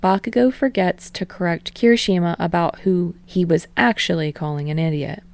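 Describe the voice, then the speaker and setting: A woman narrating a fan-fiction story aloud, in an even, casual reading voice. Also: lowish voice, moderate pace, no room sound. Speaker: podfic reader.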